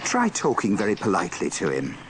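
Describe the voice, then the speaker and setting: A man's voice: low-pitched, wildly swooping pitch, fairly quick, noisy background. A man presenting a radio compilation with wry, tongue-in-cheek scripted links. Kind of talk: wry scripted linking narration.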